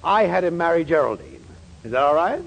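An American actor playing a fussy, apologetic middle-aged shop boss in a 1940s radio comedy, hemming with false modesty. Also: male voice, fairly high pitch, expressive, brisk, gravelly, dull audio. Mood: fussily apologetic. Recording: noisy vintage 1940s radio recording.

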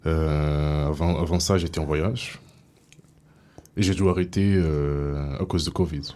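Deep male guest, Portuguese/English native, hesitant, slightly off-mic. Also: fairly even intonation, warm tone. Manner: hesitant, halting, many fillers. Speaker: radio guest. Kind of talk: casual interview answers.

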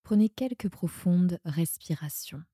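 A woman guiding a sleep meditation in a soothing, hushed, breathy manner that often nears a whisper. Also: fairly low pitch, animated, a little slow, no echo, studio quality. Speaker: yoga nidra guide and podcast host.

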